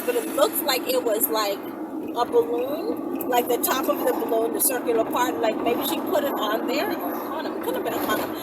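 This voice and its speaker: A woman vlog host telling stories to her viewers in a casual, chatty, animated and exclamatory way. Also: high voice. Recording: distant mic with loud vehicle noise.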